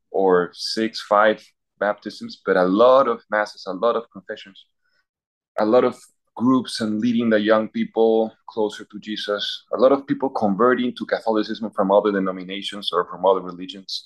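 Low-voiced priest, thoughtful. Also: male voice, low-quality recording. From Peru. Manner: thoughtful, listing examples, clears throat. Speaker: university chaplain priest. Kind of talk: casual interview answers.

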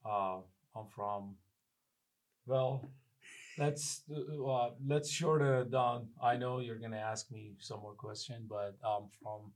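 A man from Iran with an Iranian accent, a plumber telling his story as a guest in a casual interview, pausing often to find his words. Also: mid-range voice, steady tone, poor audio. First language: Persian. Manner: halting, searching for words.

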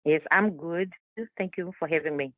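A woman from South Africa whose first language is Tswana, a guest author calling in to an interview and telling her life story in a measured, deliberate way. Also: low voice, no echo. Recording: dry, tinny phone line.

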